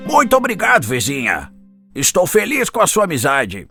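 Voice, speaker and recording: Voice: mid-range voice, wildly swooping pitch, moderate pace, a bit bright, faint music behind. An actor voicing a sly, polite fox in a children's cartoon, with exaggerated, theatrical delivery and a Brazilian accent. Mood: cheerful, eager. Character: sly, cheerful, polite fox neighbour, fond of eating.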